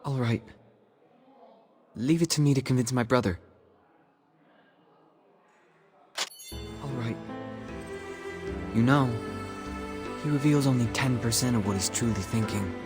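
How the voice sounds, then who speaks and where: Here is a brooding young brother from a story-driven game, played by a man, melancholy yet set on his course. His middling-pitched voice delivers dramatic lines over clearly audible music. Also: moderate pace, long pauses, close to an everyday voice. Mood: grave. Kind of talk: dramatic video game voice acting.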